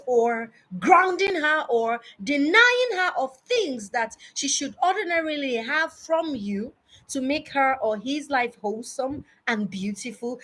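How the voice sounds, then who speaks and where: A Nigerian-accented woman in her early forties giving a personal advice monologue, emphatic and deliberate, repeating words for stress. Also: sits high, a bit bright, rough recording. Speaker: speaker addressing parents.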